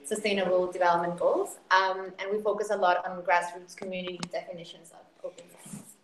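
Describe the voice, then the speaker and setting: A woman's voice: on the low side, level tone, brisk, a little hiss or hum. Woman from South America, echoey room. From Ecuador and Peru. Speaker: research network member. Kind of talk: unscripted self-introduction. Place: high-ceilinged indoor room. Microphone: shared device on a group video call. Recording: echoey room on video call.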